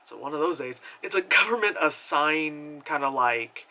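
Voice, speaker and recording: A young man giving a casual, rambling movie review, full of fillers and animated asides. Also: normal speed, low-quality recording. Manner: chatty and animated, many fillers, jumps into high acted reactions.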